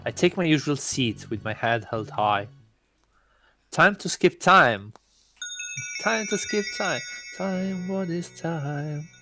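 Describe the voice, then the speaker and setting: A man's voice: fairly high pitch, animated, takes it slowly, pushed and bright, faint music behind, pitched above the performer's own voice, full character voice. A man playing a pompous, theatrical god posing as student. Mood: smug, grandiose. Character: smug self-proclaimed god posing as awkward teenage student.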